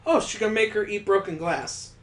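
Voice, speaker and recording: A man with a fairly high voice reading a visual novel aloud and breaking off for sarcastic, swearing asides. His voice rings in a roomy, echoey room. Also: normal speed, a bit bright. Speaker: let's play host. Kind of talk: let's play commentary and visual novel narration.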